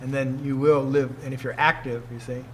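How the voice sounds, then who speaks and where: A man's voice: mid-range voice, little pitch movement, brisk, a little hiss or hum. A man in his sixties, a martial arts teacher, giving an informal talk to students, emphatic and fond of rhetorical questions. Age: 60s.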